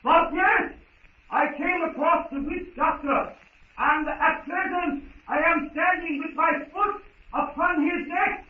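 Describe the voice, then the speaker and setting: A man playing an excitable, gallant Frenchman with a French accent and formal, emphatic speech in a 1930s radio serial. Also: extremely high pitch, takes it slowly, recorded from a distance. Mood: proud, triumphant. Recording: tinny, echoey, noisy old radio recording.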